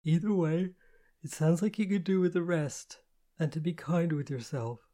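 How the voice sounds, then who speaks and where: A narrator voicing a sleepy, kind woman who reassures and gently takes command in an audiobook story. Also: medium pitch, moderate pace, pitched above the performer's own voice, close to an everyday voice. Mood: kind, drowsy. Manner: drowsy, reassuring, evenly commanding.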